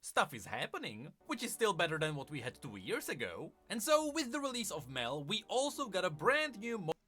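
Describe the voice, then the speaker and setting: A man's voice: high voice, wildly swooping pitch, moderate pace, pushed and bright. A man presenting game lore commentary in an emphatic, semi-scripted style with conversational asides.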